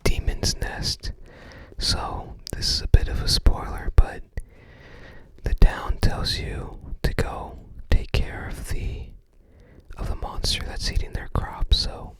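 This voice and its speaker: Whispered ASMR, unvoiced, slow pace, casual guide commentary, musing and tentative. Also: fairly bright tone. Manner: unhurried, musing, tentative recollection. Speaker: ASMR creator.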